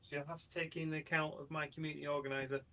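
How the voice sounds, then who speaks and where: A man with a somewhat high voice chats as a player in a tabletop game. His talk is casual and blunt. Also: level tone, very fast, pushed and bright.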